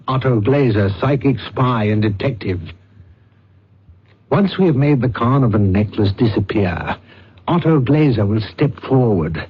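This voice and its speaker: An actor playing a suave, pompous swindler who poses as a psychic detective, with precise theatrical delivery in a radio drama. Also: male voice, medium pitch, slightly rough. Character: suave, pompous male con-man posing as psychic detective. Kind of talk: old-time radio drama acting. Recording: muffled, hissy old radio recording.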